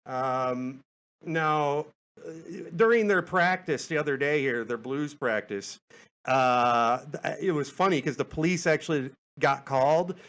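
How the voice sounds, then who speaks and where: A man's voice: on the high side, a little slow, fairly bright tone, smooth. A man hosting a podcast in casual, rambling talk, hesitant and self-deprecating, often stammering and repeating his words. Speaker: podcast host.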